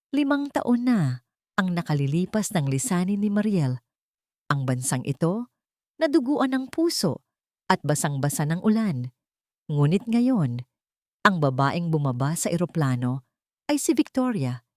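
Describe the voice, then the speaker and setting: A woman's voice: low voice, a little mellow, no room sound, clean studio sound. A woman reading a scripted dramatic story as the narrator of an audio drama.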